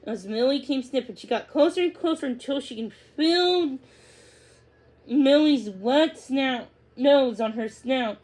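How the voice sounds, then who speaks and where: A woman reading a children's storybook aloud in an expressive narrating style. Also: high-pitched, a little slow. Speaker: storybook reader.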